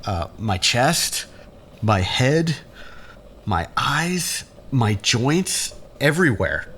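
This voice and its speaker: Man playing a worn-out, self-pitying man, swinging pitch. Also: sing-song, very slow, fairly bright tone, heavily acted. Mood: groggy, miserable. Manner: stammering, sighing, self-pitying. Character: exhausted, agitated man in withdrawal, pleading and bitter. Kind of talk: audio drama acting. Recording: faint background noise.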